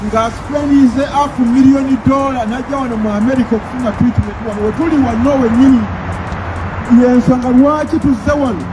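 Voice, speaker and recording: A man leading a protest outdoors, shouting forcefully and repeating slogans. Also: very high-pitched, poor audio. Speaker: protest leader. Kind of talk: rallying speech and chant leading. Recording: outdoor protest with music and crowd noise. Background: music and crowd.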